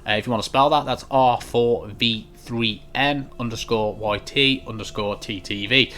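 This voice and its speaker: A man's voice: medium pitch, steady tone, normal speed, bright, forceful tone. A man giving informal, chatty video game walkthrough commentary, talking straight to his viewers. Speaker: gaming YouTuber.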